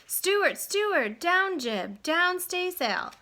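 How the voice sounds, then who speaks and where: A woman voicing an excitable man who owns a boat in a storybook, yelling bossy, urgent commands. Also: very high voice, very slow, slight background noise, voice pushed up, full character voice. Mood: urgent commanding. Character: excitable male boat owner bellowing orders from shore.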